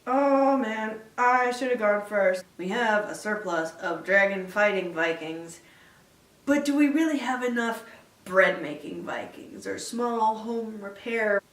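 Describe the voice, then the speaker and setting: A woman playing a sarcastic, awkward young Viking man in a dry, deliberate film-style recitation. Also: medium pitch, natural voice. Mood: animated protest. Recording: echoey room, distant mic.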